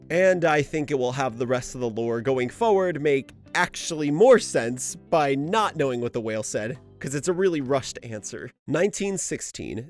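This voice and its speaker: A man hosting a lore video essay in an informal commentary style, often posing rhetorical questions. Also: mid-range voice.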